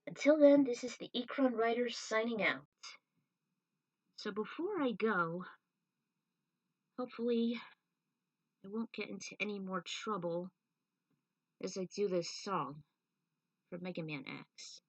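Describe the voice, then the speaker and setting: A woman's voice: medium pitch, lively intonation, unhurried, pauses often, no room sound, distant mic. A woman giving an author's commentary on her own fan fiction story.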